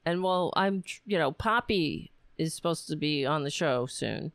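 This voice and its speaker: Woman podcast host, voice going hoarse, slow gravelly delivery, clear dry close mic. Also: deep voice, expressive, no room sound. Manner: rambling, tired, talks to her pet. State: losing her voice. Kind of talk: casual solo sign-off chat.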